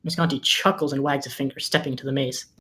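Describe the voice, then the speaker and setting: A man's voice: medium pitch, fairly even intonation, fast talker, bright, forceful tone, husky. A man reading scripted screenplay directions as the narrator of a table read.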